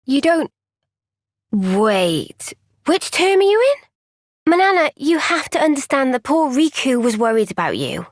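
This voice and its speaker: A soft-spoken young soldier girl for a game, highish voice, unhurried, dry studio sound. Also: sing-song, no room sound. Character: young female soldier, gentle and caring. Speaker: game character. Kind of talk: game cutscene voice acting. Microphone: close studio mic.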